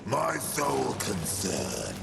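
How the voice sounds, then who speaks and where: An actor playing a lazy, smug demon lord in a video game cutscene, with a gravelly voice and a languid, mocking drawl. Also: male voice, lowish voice, wildly swooping pitch, slow, exaggerated voice. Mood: bored, languid. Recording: echoey, loud music behind. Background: loud music.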